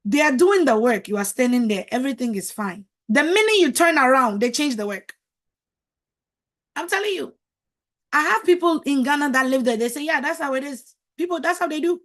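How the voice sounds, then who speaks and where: Emphatic, preachy woman coach. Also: sits high. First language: French. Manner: emphatic, preachy, repeats words for stress. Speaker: marriage coach. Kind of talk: motivational talk to camera.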